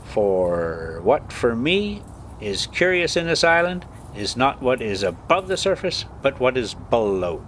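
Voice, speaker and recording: A man's voice: mid-range voice, sing-song, measured pace, fairly bright tone, a little hiss or hum. A man voicing an eager, single-minded scholarly uncle in an adventure storybook, with emphatic declarations. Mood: dismissive.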